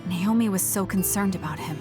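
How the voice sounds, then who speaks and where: A woman's voice: mid-range voice, a little fast, close to an everyday voice. An actress playing a stern, reserved but caring young female soldier in a video game, with a cool, matter-of-fact delivery. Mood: troubled. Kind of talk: video game English voice acting. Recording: game music behind the voice. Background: game music.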